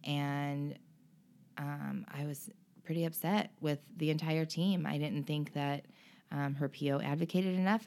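Low-voiced woman telling her story earnestly. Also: darkish tone. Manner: earnest and emotional, many ums and restarts. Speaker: interview guest. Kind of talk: candid personal interview.

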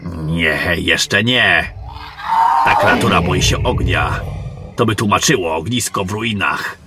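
A man playing a seasoned, wry general and monster hunter in a fantasy audio drama, measured and commanding. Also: low voice, over loud music. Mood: cautious, measured.

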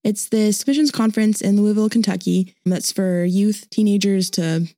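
A young woman, a university student, answering interview questions in a relaxed, thoughtful way in a studio. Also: mid-range voice, normal speed, dry recording. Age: young adult. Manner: relaxed and thoughtful, some ums.

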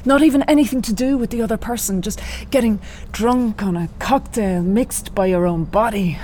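An actress playing a lovelorn, haunted middle-aged psychologist in a radio drama, halting and confessional. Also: female voice, a little high, dark tone, slight background noise, close to an everyday voice. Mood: bitter, wry.

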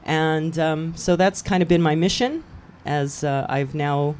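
A woman's voice: low-pitched, a little slow, darkish tone, a little hiss or hum. A woman from Hawaii, a guest on a local radio show, telling her story in a chatty way and laughing easily. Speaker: guest, cycling event promoter. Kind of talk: casual radio chat.

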